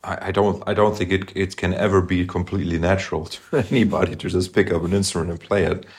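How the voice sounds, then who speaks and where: A man with a very low, gravelly voice talks over music theory in an unscripted chat. He pauses and restarts often, now and then humming a few notes to show what he means. He sounds middle-aged and thoughtful. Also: fairly even intonation, darkish tone. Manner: thoughtful, halting, hums melodies to illustrate. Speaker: podcast co-host, musician. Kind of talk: casual music discussion.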